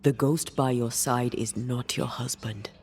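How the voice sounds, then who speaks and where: An actor playing a blunt, scornful companion in a video game, with a gravelly, hushed and cutting delivery. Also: male voice, medium pitch, fairly even intonation, measured pace, a little hiss or hum, natural voice. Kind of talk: dramatic video game acting.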